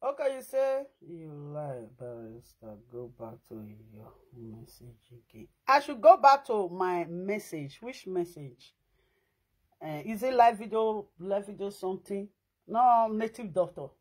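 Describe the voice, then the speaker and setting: A woman in her thirties with a Nigerian Pidgin accent, chatting to her viewers indoors in an animated, emphatic way. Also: medium pitch, a little slow, slightly rough, rough recording. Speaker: vlogger. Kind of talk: casual chat to viewers. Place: indoors against a plain wall.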